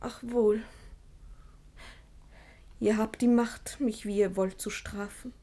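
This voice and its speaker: An actress playing a naive young ward in a classic radio play, speaking verse with artless, plaintive frankness. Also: female voice, on the high side, fairly even intonation, slow, dark tone. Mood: defiant. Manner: innocent, plaintive, candid.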